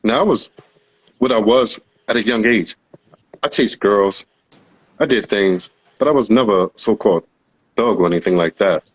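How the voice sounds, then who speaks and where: Host, low voice, American with West African-tinged accent, earnest lecturing. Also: male voice, moderate pace, long pauses, husky, low-quality recording. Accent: mixed American and West African. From United States. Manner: earnest, lecturing, long explanations. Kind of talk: casual live-stream talk.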